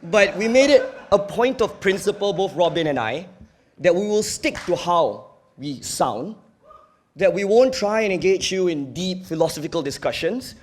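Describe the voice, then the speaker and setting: A man's voice: high-pitched, expressive, normal speed, a little hiss or hum. A young man with a Singaporean accent giving a competitive debate speech in an earnest, emphatic, argumentative manner. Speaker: university debater.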